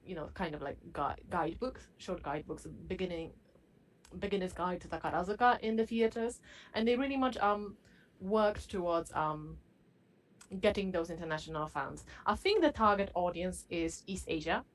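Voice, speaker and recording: A woman giving her views as a guest in an academic panel discussion, halting often as she goes. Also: on the low side, lively intonation, low-quality recording. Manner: halting, frequent hesitations.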